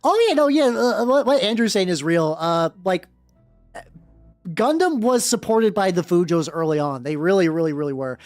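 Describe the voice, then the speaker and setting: A woman's voice: lowish voice, lively intonation, moderate pace, darkish tone, no echo. A woman hosting a live stream, chatting casually and reading fanfiction aloud with sweary, slangy, wry asides. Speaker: streamer, vtuber host. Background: background music.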